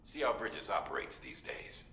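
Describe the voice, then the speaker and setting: An actor playing a brisk, helpful official giving an informal, matter-of-fact briefing in a video game. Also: male voice, fairly low pitch, big pitch swings. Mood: matter-of-fact, instructive. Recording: echoing sound with faint background noise.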